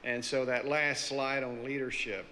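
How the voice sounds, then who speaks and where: Male audience member, booming and bright, off-mic with hall reverb. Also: level tone, a little slow, slight background noise. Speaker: audience member asking a question. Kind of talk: casual question from the floor.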